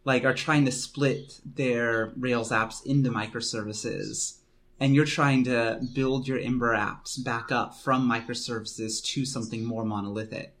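A man hosting a casual tech interview, relaxed and conversational, often saying "like". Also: medium pitch, a little slow. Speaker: podcast host.

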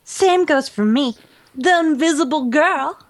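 Woman as very high-pitched, melodramatic superheroine. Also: a little slow, no echo. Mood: resolute. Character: dramatic young female superhero, soft-hearted and loyal. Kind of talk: hobby comic-book radio play acting.

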